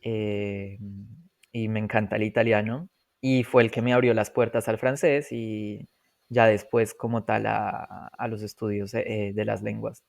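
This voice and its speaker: A Colombian man in his twenties, a podcast guest telling his story in a relaxed way, laughing often and pausing to reflect. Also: fairly low pitch, creaky, dry recording, studio quality. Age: 20s. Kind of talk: casual interview storytelling.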